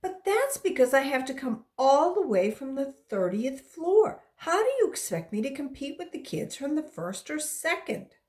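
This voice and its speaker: A woman's voice: high-pitched, big pitch swings, moderate pace, hardly any pauses, darkish tone, voice pushed up. A woman voicing an insistent young schoolgirl from a children's storybook, with a pleading, questioning manner. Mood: complaining. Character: insistent young schoolgirl who loves kickball.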